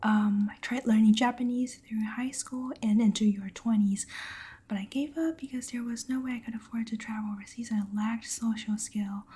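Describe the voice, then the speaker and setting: A woman from Taiwan, a Mandarin speaker, chatting to her stream viewers in a soft, breathy ASMR whisper, giggly and hesitant with many ums. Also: fairly high pitch, steady tone, normal speed. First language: Mandarin Chinese. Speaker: VTuber streamer.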